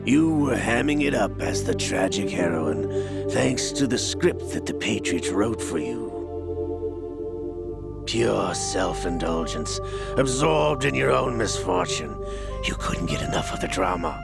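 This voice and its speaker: Game villain: older man, cruel and smug, low gravelly drawl, unhurried taunts over loud music. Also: lively intonation, exaggerated voice. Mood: smug, taunting. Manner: slow, taunting, theatrical delivery. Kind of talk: video game cutscene acting. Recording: dramatic music under the voice.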